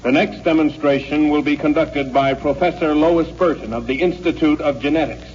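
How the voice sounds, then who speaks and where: Actor as a high-pitched, formal male announcer. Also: steady tone, moderate pace, darkish tone, lightly acted. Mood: official. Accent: American. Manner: formal, official. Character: brisk, impersonal male public-address announcer. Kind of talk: 1950s American radio drama acting. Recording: dull old radio recording.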